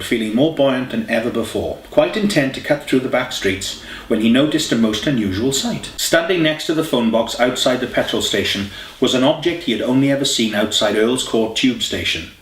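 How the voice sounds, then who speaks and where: A man's voice: mid-range voice, expressive, normal speed. A man reading a scripted story as an audiobook narrator. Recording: echoey room, distant mic, faint hiss.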